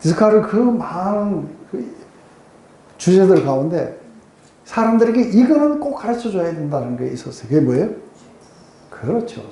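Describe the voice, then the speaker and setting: A man's voice: sits high, big pitch swings, normal speed, pauses often, warm tone. A man preaching in a conversational, explanatory way, posing rhetorical questions and often checking in with his listeners, like a pastor teaching a Bible study. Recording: roomy echo.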